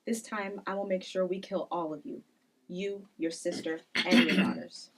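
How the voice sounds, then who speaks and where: A woman's voice: mid-range voice, steady tone, moderate pace, a bit bright, natural voice. A woman playing a cold, commanding villain in a script read-through, curt and menacing.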